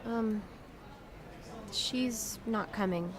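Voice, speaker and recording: A woman's voice: mid-range voice, drawn-out words. A woman playing a naive, anxious young woman in a fan audio drama, hesitant, earnest and full of questions. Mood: subdued, hesitant.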